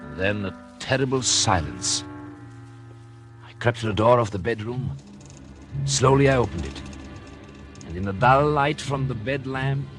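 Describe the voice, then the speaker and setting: Man to play cold-blooded American doctor narrating his crime, 1940s radio melodrama, highly animated delivery over music. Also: close to an everyday voice. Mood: tense, stealthy. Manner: melodramatic, intense, deliberate. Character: calculating murderous husband, a doctor, smug then horrified. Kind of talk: 1940s radio acting, first-person suspense narration.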